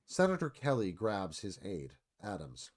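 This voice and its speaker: A man's voice: lowish voice, wildly swooping pitch, a little slow. A man reading screenplay stage directions aloud as scripted narration.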